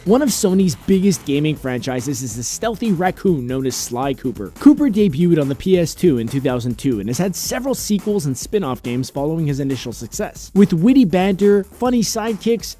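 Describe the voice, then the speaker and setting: Animated, higher-pitched man narrating over music. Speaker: narrator of a video countdown. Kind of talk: scripted list-video narration. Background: background music.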